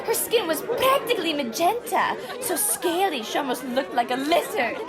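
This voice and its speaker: Woman acting a very high-voiced, gushing young socialite. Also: very animated, moderate pace. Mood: haughty, airy. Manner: theatrical, affected, gushing. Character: flighty, self-absorbed young socialite woman, gushing and snobbish. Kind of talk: old-time radio play acting. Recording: party crowd and music behind.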